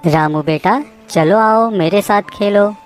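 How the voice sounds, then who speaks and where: A woman voicing a gentle, self-sacrificing mango tree in a children's cartoon story, affectionate and apologetic. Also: medium pitch, takes it slowly, soft background music, natural voice. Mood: affectionate, inviting.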